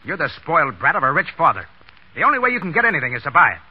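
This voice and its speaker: A man's voice: on the high side, sing-song, very fast, breathy edge. An actor playing a blunt, bossy, cocky man with slangy scolding talk in 1930s radio drama style. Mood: scornful. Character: brusque, cocky, bossy young wisecracking man. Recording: muffled 1930s radio broadcast.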